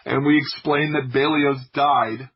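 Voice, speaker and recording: Husky guy just chatting thru his stories, funny n informal, kinda dull lofi recording. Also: a little high, fairly even intonation, a little slow. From United States (Florida). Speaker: podcast guest, audio drama creator. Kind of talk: casual personal storytelling.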